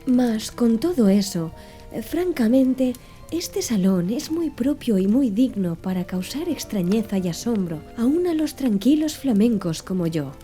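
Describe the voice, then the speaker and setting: A woman voicing a calm, learned Flemish servant who speaks in a formal, measured way, for a storybook reading. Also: a little high, faint music behind. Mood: composed.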